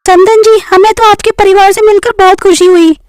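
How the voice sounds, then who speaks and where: A woman's voice: extremely high pitch, fairly even intonation, moderate pace, no echo. A woman voicing a polite, sociable female relative in a cartoon family story, with a courteous, formal manner. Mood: gracious.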